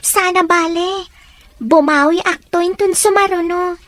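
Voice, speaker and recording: A woman's voice: very high voice, fairly even intonation, a little slow, a bit bright, no echo. A woman playing a young daughter in a radio drama, girlish, plaintive and childlike as she speaks to her father. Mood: earnest. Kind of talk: Ilocano radio drama acting.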